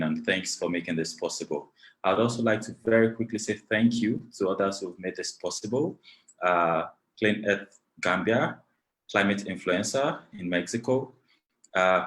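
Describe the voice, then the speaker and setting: Guy hosting a webinar, really low voice, formal and thankful. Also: a bit bright. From Nigeria. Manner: gracious, thanks people at length. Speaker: webinar moderator. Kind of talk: formal online panel hosting.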